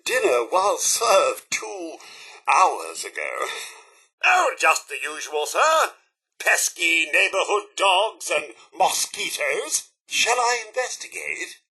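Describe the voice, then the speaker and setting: Fussy british robot butler for a game, super animated n bright, bit high, very prim and polite. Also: male voice, takes it slowly, husky, heavily acted. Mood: theatrical, effusive. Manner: formal, servile, effusively polite. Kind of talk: video game character voice acting.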